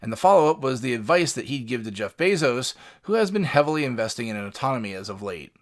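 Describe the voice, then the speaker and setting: A man's voice: mid-range voice, sing-song, fast talker. A man hosting a podcast, delivering scripted commentary in a narrating style. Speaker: podcast host.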